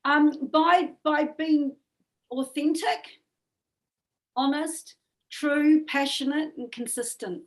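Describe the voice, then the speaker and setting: Woman, New Zealand accent, high voice, earnest chatty interview guest, middle-aged. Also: steady tone, slow pace, pauses often. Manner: chatty, earnest, repeats words and adds "right?". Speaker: music manager guest. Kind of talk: casual interview chat.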